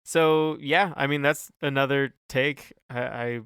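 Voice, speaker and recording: A man with a clear, bright voice, recorded very dry and close. He talks casually as a podcast co-host, feeling his way through each thought and qualifying it as he goes. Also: mid-range voice, steady tone, a little slow, no echo. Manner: hesitant, hedging, thinking aloud. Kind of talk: casual discussion of song lyrics.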